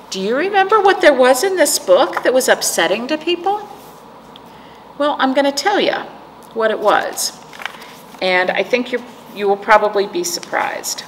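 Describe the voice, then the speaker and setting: An American woman in her forties with a creaky voice, a storytime host explaining things to young children in simple, teacherly words and questions. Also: medium pitch, animated, normal speed, a bit bright. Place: indoor room, seated. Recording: echoey room with background noise.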